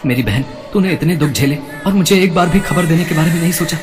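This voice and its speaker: A man voicing a protective, stern older brother in an animated melodrama, speaking emphatically and emotionally. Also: on the high side, quick pace, long reverb tail, music in the background, pitched above the performer's own voice, natural voice. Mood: anguished. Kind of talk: melodramatic animated story acting.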